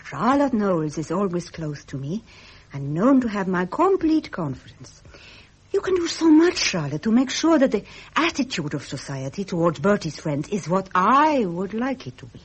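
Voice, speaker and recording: A woman playing a gracious, devoted princess in a radio drama, speaking in an earnest, refined, measured way. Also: medium pitch, sing-song, breathy edge, rough recording. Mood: serene devotion. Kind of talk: 1970s British radio drama acting.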